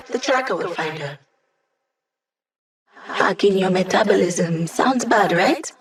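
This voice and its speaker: A woman's voice: medium pitch, natural voice, low-quality recording. An actress voicing a wry, sarcastic young female tech hacker in a video game, talking in a casual, teasing, quippy way. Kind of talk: scripted video game character dialogue.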